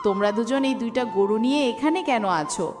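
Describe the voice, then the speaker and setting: Woman voicing a fairly high, gentle water fairy. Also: fast, music in the background, lightly acted. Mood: kindly concern. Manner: gentle, concerned. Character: kind, caring female water fairy. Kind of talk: cartoon voice acting.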